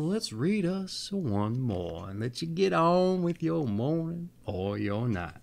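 A man in his thirties tells old fairy tales in a theatrical, folksy way. His pitch leaps up and down dramatically, and he takes his time, stretching words for emphasis. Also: gravelly. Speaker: storyteller, show host. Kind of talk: expressive fairy-tale reading with folksy asides.

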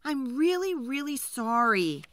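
A woman's voice: sits high, sing-song, a little slow, a bit bright, dry recording, studio quality, voice pushed up, heavily acted. A woman voicing a meek, apologetic male woodcutter in a children's folk tale, hesitant and pleading. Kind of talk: storybook character voice.

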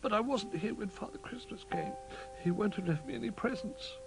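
High-pitched, excitable little bear cub. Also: male voice, moderate pace, gravelly, over music. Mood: sad. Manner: exclaiming, breathless childlike earnestness. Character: excitable little boy bear cub, eager and wide-eyed.

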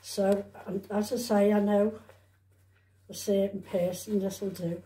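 A woman talks in an unhurried, mellow voice. She rambles chattily as if to a camera at home, circling back over her words and adding tag questions. The room gives her voice a noticeable echo. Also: medium pitch, level tone. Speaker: vlogger showing shopping haul. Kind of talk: casual rambling chat to camera. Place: indoors at home. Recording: roomy, echoey home recording.

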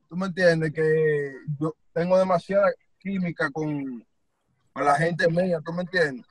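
A man's voice: fairly high pitch, rough recording. A young man from Puerto Rico, an urban music artist, talking loosely on a phone video call from a car, full of slang tags. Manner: loose slangy talk, repeats tú me entiendes. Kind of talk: casual interview answers.

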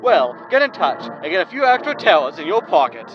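An actor playing a brash, excitable tabloid radio announcer in a science fiction audio sitcom, with a loud, high voice. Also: male voice, fairly quick, lo-fi sound, over music. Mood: showy hype.

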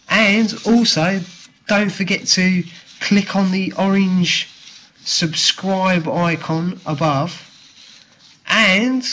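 Casting: male amateur seller-presenter, slightly high pitch, unhurried and halting, informal show-and-tell. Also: fairly bright tone, a little hiss or hum, poor audio. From United Kingdom. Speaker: trading card seller presenting his video. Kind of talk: informal product showcase.

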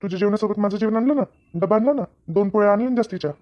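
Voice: mid-range voice, racing, lo-fi sound, lightly acted. A performer voicing a practical, good-natured male farm owner who nags his workers in a comedy cartoon, with a Maharashtrian accent and colloquial delivery. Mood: nagging. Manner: colloquial, nagging, instructing. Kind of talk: Marathi comedy cartoon dialogue.

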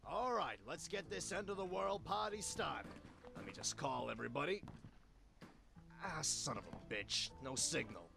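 A scheming sixty-something rabbit in a cartoon game. His voice is heavily fried and fairly high, swinging up and down as he bosses and curses in slangy, grumpy fashion. Faint music plays underneath. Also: expressive, normal speed, gravelly. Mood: scheming, laid-back. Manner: sweary, bossy, slangy. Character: grumpy foul-mouthed 63-year-old cartoon rabbit, scheming salesman, male.

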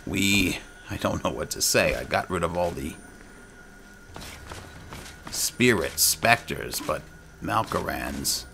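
Gravelly, deep-voiced man playing a game. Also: lively intonation, slow pace, long pauses, a little hiss or hum. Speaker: gaming channel host. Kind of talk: in-character gameplay commentary. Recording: loud game music under the voice.

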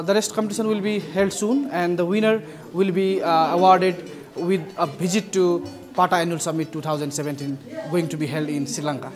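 A man with a Nepali accent giving an organiser's statement at an indoor event, with some hesitations. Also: high voice. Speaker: event organiser, executive manager. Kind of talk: prepared interview statement. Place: indoor event venue, before a banner. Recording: crowd murmur behind.

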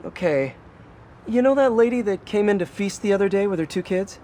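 An actor playing a young, upbeat, self-deprecating superhero who chats and quips in a video game. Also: male voice, high-pitched, brisk, mellow, slight background noise, natural voice. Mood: encouraging. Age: young adult. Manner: chatty, quippy.

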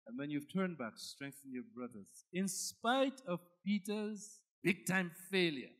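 A man's voice: high-pitched, lively intonation, a little slow, a bit bright. A man with a Guyanese accent preaching a sermon as a pastor, emphatic and dramatic, repeating phrases for effect.

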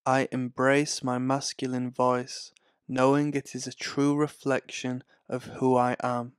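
A man reading guided affirmations in a calm, soothing, scripted way. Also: medium pitch, clear, resonant tone, no echo, studio quality. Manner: slow, calm, soothing delivery. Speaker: affirmation narrator.

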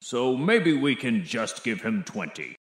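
Man acting a scheming older storybook mayor, pompous, dismissive, very slow, animated intonation. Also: medium pitch. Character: stingy, scheming older male town mayor.

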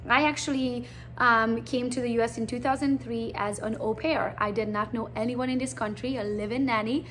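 Woman in her forties, Hungarian accent, high voice, lively blunt storytelling, faint background hiss. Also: moderate pace. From Transylvania, Romania. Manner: chatty, blunt, animated storytelling. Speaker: realtor presenting to prospective recruits. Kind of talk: casual recruiting presentation.

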